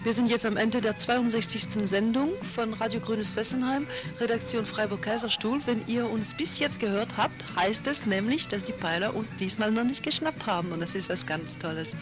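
A woman, making relaxed, chatty announcements on pirate radio with fillers and self-corrections. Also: a little high, normal speed, hardly any pauses. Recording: old radio broadcast with music underneath.

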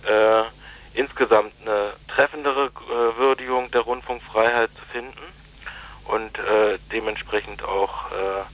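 Male interviewee, slightly low pitch, formal legalistic commentary, unscripted and hesitant with frequent filled pauses. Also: steady tone, fairly bright tone, lo-fi sound. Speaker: interviewee from a radio station.

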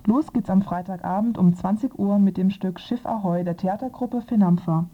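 A woman's voice: medium pitch, normal speed. A woman reporter narrating a scripted radio magazine piece. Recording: thin, phone-like lo-fi radio sound.

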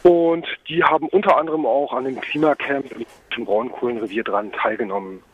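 A man speaking as an activist in a spontaneous phone interview, halting and full of ums, over a mobile phone. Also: medium pitch, slight background noise. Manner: hesitant, halting, frequent ums. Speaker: climate camp activist being interviewed. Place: at a climate camp, on a mobile phone. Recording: dull, lo-fi mobile phone line.

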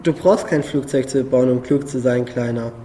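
A man's voice: medium pitch, normal speed, mellow, slight background noise, lightly acted. A man playing a wise, fatherly cat who reassures a small boy in a radio play, recorded far off in reverb. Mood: reassuring. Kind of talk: German radio play dialogue. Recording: distant, long hall reverb, faint music.

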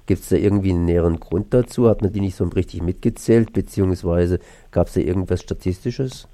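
Deep, very dark-voiced male interviewer. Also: brisk. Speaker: radio interviewer, host. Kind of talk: conversational interview questioning.

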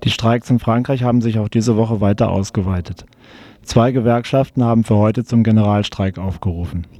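A man's voice: on the low side, a little fast, warm tone, no room sound. A man reading scripted radio news soberly, now and then stumbling over a word.

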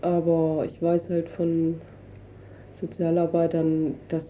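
A woman's voice: sits low, completely flat delivery, slow. A woman giving spontaneous interview explanations in a colloquial, hesitant way, searching for words as she goes. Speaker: interviewee, refugee council representative. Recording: dull lo-fi radio recording, noisy.

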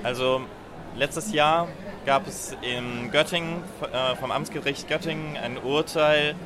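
A man, an activist being interviewed outdoors at a street festival, speaking in a halting way with frequent fillers. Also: mid-range voice, steady tone. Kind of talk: casual spoken interview. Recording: loud crowd around.

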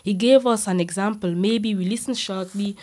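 A woman's voice: mid-range voice, moderate pace, darkish tone. A woman presenting a podcast as co-host, in scripted back-and-forth dialogue.

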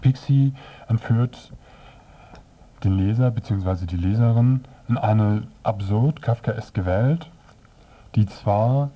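A man presenting a scripted review on the radio as narrator, with measured, halting phrasing. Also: fairly low pitch, highs cut off.

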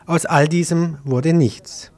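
A man's voice: on the high side, fairly even intonation, slow, a little mellow. A man working as a radio interviewer, reading a prepared report and putting questions to a guest.

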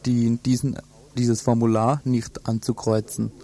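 A man reporting in a scripted, measured and matter-of-fact way as a radio news reporter. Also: mid-range voice, little pitch movement, warm tone.

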